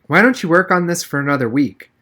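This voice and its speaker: A man voicing a female schoolteacher in an audiobook, gently probing, concerned and reassuring. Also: a little high, expressive, quick pace, voice pushed up.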